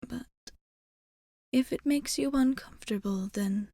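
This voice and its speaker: A woman voicing a gentle, devoted ancient vampire in an ASMR roleplay, speaking formally and tenderly in a soft, breathy voice that often drops to a whisper. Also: on the high side, a little slow. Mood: devoted, protective. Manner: formal, hesitant, tender phrasing.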